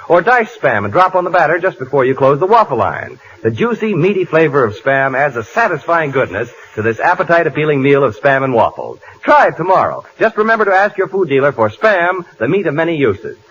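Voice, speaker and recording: A man reading a 1940s radio advertisement and sign-off with a smooth sales pitch. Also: a bit bright. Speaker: announcer. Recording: dull old radio broadcast with hiss.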